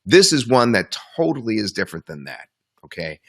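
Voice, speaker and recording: Man, webinar host, animated pitch, enthusiastic rambling sales talk. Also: a little fast.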